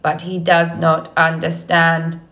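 A woman's voice: deep voice, completely flat delivery, fairly bright tone, heavily acted. A woman playing a friendly female robot in an audiobook story, speaking with formal, earnest politeness. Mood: polite, unsure. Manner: friendly, measured, formal without contractions.

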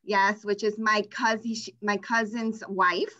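A woman in her forties with a New York accent, talking on a video call in an animated, devout way. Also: mid-range voice, measured pace, dull audio. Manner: animated, devout, exclaiming praise. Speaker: guest participant. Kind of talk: casual video call chat. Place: room indoors. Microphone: video call webcam.

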